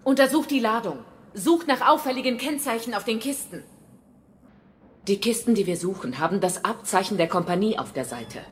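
A woman's voice: medium pitch, expressive, normal speed, husky. A woman playing a resolute, grave rebel leader, speaking formally and earnestly in a dramatic video game audio play. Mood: instructing.

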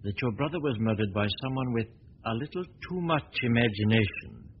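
A man plays a confident amateur detective from a 1940s radio play, breezy and teasing in his self-assured talk. His voice sits at an ordinary pitch for a man. The recording is dull and old, with a faint hiss behind it. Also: animated, a little fast, lightly acted. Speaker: lead actor in radio drama.

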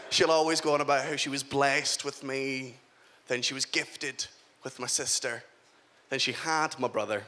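A young man in his early twenties performs stand-up, with dry, self-deprecating punchlines. His voice is bright and forceful at a middle pitch. He is recorded on a live comedy stage, with faint noise in the background. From Scotland.

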